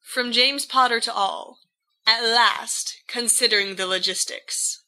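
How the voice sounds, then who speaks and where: Woman, fiction reader, scripted narration, very bright tone, slow pace. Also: a little high, low-quality recording.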